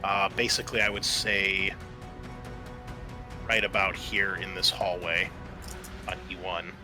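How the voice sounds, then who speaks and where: A man's voice: deep voice, steady tone, a little slow. A man running a tabletop role-playing game, narrating the action theatrically and casually. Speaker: game master. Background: music.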